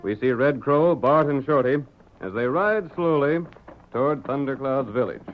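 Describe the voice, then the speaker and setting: A man's voice: mid-range voice, expressive, normal speed, soft background music. Male 1930s radio narrator, tinny sound. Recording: tinny old radio recording.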